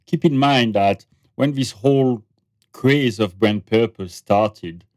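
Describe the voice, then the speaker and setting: A man from France with a French accent, a researcher and author speaking as a guest on an interview podcast. He talks in a measured, reflective way. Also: mid-range voice, a little mellow, no echo.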